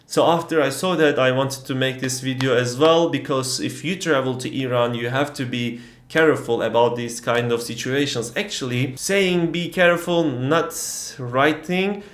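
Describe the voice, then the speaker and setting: Turkish-accented man, animated travel story. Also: medium pitch, normal speed, roomy sound. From Turkey. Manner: non-native English, frequent fillers, animated retelling. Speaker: travel vlogger. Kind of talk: casual storytelling vlog.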